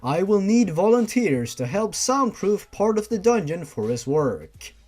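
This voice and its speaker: A man's voice: high voice, very animated, a little slow, darkish tone, heavily acted. A man voicing an authoritative father in a story, speaking in a formal, announcing manner. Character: authoritative father addressing his monster household at breakfast.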